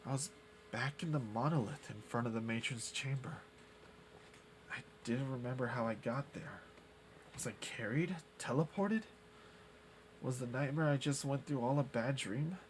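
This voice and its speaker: A man's voice: mid-range voice, normal speed, long pauses, slight background noise. Casual male streamer reading a game aloud. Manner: casual, swears, reads narration dramatically. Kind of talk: live-stream game narration with asides. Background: game music.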